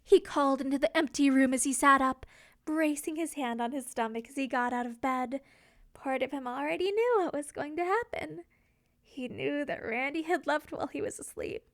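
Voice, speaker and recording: A woman narrating a scripted romantic story in an expressive, theatrical storytelling manner. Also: high voice, moderate pace, no echo, clean studio sound. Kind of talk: scripted dramatic fan fiction narration.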